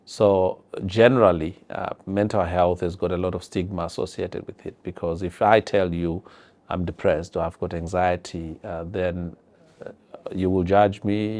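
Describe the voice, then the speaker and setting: Man, Zimbabwean accent, very low pitch, dark relaxed tone, earnest explainer. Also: moderate pace. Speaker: public health professional. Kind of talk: explanatory interview talk.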